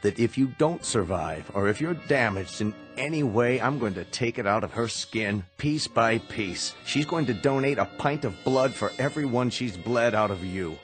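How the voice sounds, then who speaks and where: An actor playing a grave, protective American doctor in a radio drama, measured, somber and terse. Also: male voice, mid-range voice, expressive, soft background music, lightly acted. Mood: bitter resolve. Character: grave, protective doctor, reluctant brother of the patient.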